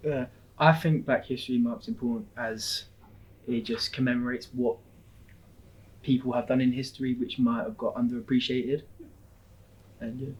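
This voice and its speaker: A young man, a student, speaking informally and relaxed on a panel. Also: medium pitch, normal speed, pauses often. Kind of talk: panel discussion. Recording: slightly dull sound.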